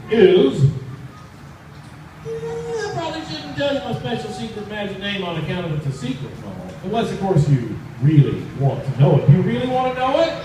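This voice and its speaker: A man hosting a live children's magic show, with theatrical, repetitive, teasing patter to his audience. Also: high voice. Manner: repeats phrases, teasing build-ups to the audience. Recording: echoey, noisy, distant live recording.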